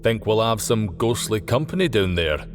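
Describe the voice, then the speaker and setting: Man voicing cheeky, impatient Scottish workman, lively. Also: lowish voice, moderate pace, long reverb tail, soft background music. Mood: joking. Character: Jamie, cheeky impatient male sewer worker and driver. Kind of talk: audiobook character dialogue.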